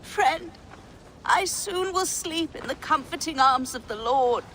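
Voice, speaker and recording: Dying, doting old lady for a video game: piercingly high, very slow, childlike and tearful, faint noise behind. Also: lively intonation. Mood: fond, fading. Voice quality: coughing, breathy cries. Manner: childlike baby talk, swooping and halting. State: weak, crying, coughing. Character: dying, doting old woman fussing over her pet fox. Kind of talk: dramatic video game acting.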